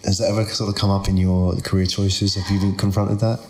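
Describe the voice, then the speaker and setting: A man hosting a podcast interview around a table, relaxed and curious, asking follow-up questions. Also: sits low, fairly quick. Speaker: podcast host. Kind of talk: conversational interview. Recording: roomy echo.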